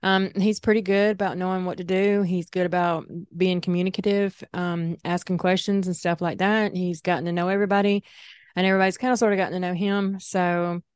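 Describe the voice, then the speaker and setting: A woman's voice: fairly low pitch, flat delivery, brisk, darkish tone, clean tone, no room sound. Chatty middle-aged woman podcast host. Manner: chatty, laughs often, says y'all. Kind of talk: casual chat.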